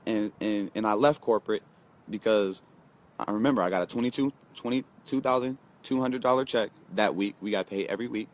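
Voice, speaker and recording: A man's voice: lowish voice, a little fast. A man in his twenties giving a casual motivational talk, emphatic, repeating himself and speaking straight to his viewers. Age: 20s. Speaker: motivational vlogger.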